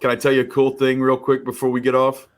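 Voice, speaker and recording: A middle-aged man co-hosting a mortgage podcast, talking in an energetic, emphatic storytelling way. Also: medium pitch, fast. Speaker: podcast co-host, mortgage professional. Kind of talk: casual chat.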